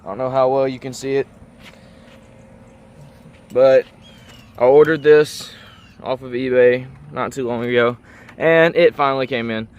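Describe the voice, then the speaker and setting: A man giving a casual, unscripted show-and-tell vlog, informal and thinking out loud as he goes. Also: medium pitch, fairly even intonation, a little slow, long pauses, smooth, dull audio. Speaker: car vlogger.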